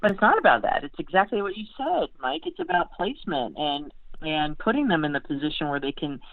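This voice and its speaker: A woman's voice: sits low, very animated, fairly quick, no room sound. An American woman giving casual sports analysis as an interview guest, backtracking and repeating phrases as she thinks aloud. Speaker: sports beat writer, podcast guest. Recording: narrow phone-like line.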